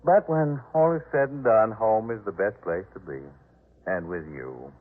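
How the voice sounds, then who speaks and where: An actor playing a genial, self-satisfied, well-to-do husband in a 1950s radio drama, expansive and indulgent in manner. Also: male voice, on the low side, animated, moderate pace. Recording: muffled, narrow-band 1950s radio recording.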